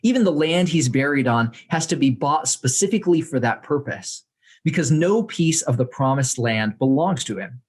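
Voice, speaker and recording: A young adult man from the United States, reading aloud from his own book to an audience in a measured way with dry humor. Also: medium pitch, expressive, a little mellow, husky. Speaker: author reading and speaking to an audience.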